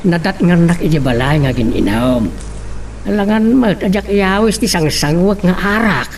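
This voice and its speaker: A woman's voice: on the low side, expressive, normal speed, a little mellow. A woman voicing a concerned, plain-spoken old father in an audio drama, with a Filipino accent. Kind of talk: Ilocano radio-style drama acting. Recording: distant mic, faint background noise.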